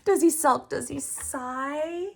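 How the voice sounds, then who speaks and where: A middle-aged woman hosting a storytime for small children, reading aloud in an expressive, exaggerated way with dramatic pauses. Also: high voice, vocal fry. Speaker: host of a children's sign language storytime.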